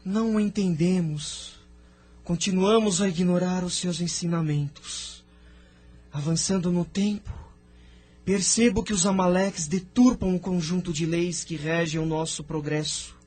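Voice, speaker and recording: An actor playing a solemn, sorrowful seer who recounts his world's past in a reverent, drawn-out manner for an audio drama. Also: high voice, fairly even intonation, darkish tone. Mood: solemn, regretful.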